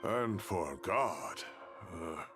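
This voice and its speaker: An actor playing a pensive veteran researcher in a story game, speaking in a deliberate, analytical manner. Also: male voice, low-pitched, animated. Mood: analytical, troubled. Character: male ley-line researcher, veteran, pensive, hesitant. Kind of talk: scripted game story dialogue. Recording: game music behind the voice.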